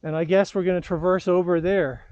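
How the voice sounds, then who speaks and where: A man's voice: high-pitched, steady tone, brisk, darkish tone, dry recording, lo-fi sound. A man talking casually as he vlogs from a ski slope outdoors. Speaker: vlogger. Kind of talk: casual outdoor skiing vlog. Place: outdoors on a snowy mountain slope.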